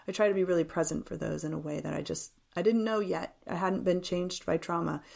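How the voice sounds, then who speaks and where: Female interview guest, fifties, lower register with strong vocal fry, earnest and explanatory delivery. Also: darkish tone. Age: 50s. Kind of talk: reflective interview talk.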